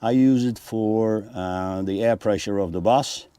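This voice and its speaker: A man's voice: fairly low pitch, unhurried, mellow, smooth, dry recording. A man presenting a narrated tour of a motorhome as a vlogger.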